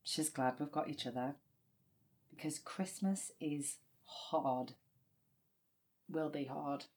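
A woman's voice: fairly low pitch, darkish tone. A woman acting the part of a playful, tender sister in a naturalistic audio drama, teasing and earnest.

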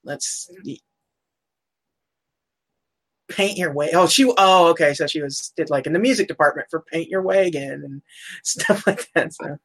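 A woman co-hosting a casual chat about old cartoons, chatty and quick to laugh, looking things up as she talks. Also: low voice, husky. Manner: chatty, laughs often, looks things up aloud. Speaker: podcast co-host.

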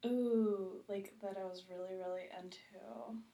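A woman's voice: medium pitch, steady tone, unhurried, dark tone. A woman chatting casually as an interview guest, self-deprecating and humorous, peppering her talk with "like". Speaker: podcast guest, TV critic.

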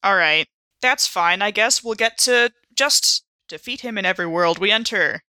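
A woman's voice: medium pitch, animated, moderate pace, sharp tone, no room sound. A woman improvising in character as a player in a tabletop roleplay podcast, wry and wordy with hesitant turns. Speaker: player in an actual-play audio drama podcast.

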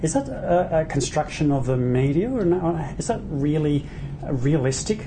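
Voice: mid-range voice, animated, normal speed, noisy background. An interviewer asking probing questions in a conversational manner.

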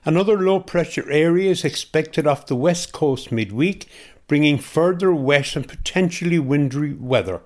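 A man's voice: fairly high pitch, normal speed, darkish tone. A man with an Irish accent reading a scripted weather forecast for community radio.

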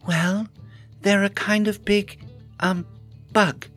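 A man puts on a spirited hobbit lass from a fantasy storybook. The voice is high and lively, with a chatty, coaxing manner. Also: normal speed, slightly rough, faint music behind, full character voice. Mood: breezy. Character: spirited young female hobbit adventurer, breezy and persuasive.

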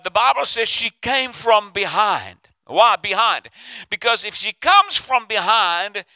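An older man preaches with fervour, his voice high, very bright and smooth. He calls on the church to answer back and slips into the inner voices he describes, all recorded close and dry. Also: dry recording. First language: Afrikaans. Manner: fervent, calls for responses, acts out inner voices. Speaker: pastor. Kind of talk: sermon.

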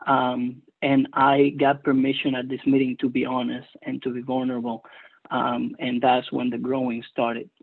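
A man's voice: mid-range voice, completely flat delivery, creaky. Hesitant Cuban man on a tinny line. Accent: Cuban Spanish. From Cuba. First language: Spanish. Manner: hesitant, earnest, many pauses. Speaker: recovery meeting speaker. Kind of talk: personal testimony. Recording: tinny phone-line audio.

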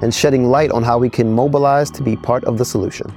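A man co-hosting a podcast, reading a steady scripted intro and opening the interview. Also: medium pitch, fast talker, faint music behind. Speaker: podcast co-host.

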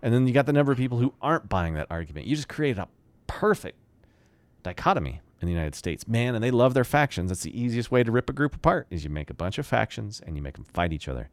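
A man's voice: mid-range voice, quick pace, dry recording. A man co-hosting a political commentary show, talking emphatically. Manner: emphatic, lively. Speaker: co-host and editor.

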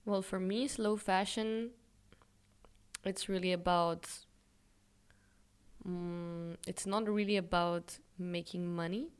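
A woman's voice: lowish voice, fairly even intonation, slow, pauses often, clean tone. A young woman from Israel, answering interview questions in a thoughtful, candid way with some fillers and light self-deprecating laughs. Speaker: interviewee, fashion brand founder.

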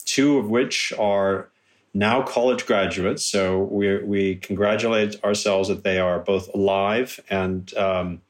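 A man in his fifties from California with a mixed American and British accent, a guest talking casually over a video call, halting with many restarts. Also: lowish voice, fairly even intonation. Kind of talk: casual conversation.

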